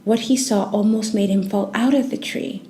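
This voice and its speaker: A woman narrates a children's bedtime story in a soothing, gently expressive way. Her voice is mellow and relaxed, at an average pitch. There is faint noise behind her. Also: moderate pace.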